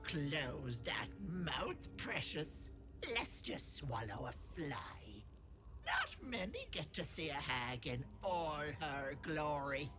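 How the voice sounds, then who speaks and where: A woman's voice: deep voice, sing-song, slow, over music, heavily acted. A woman voicing a sly old hag who poses as a doting auntie in a video game, with an Irish accent and sugary endearments edged with menace. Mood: gloating, menacing. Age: older. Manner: cloying endearments turning to menace. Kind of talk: theatrical video game acting.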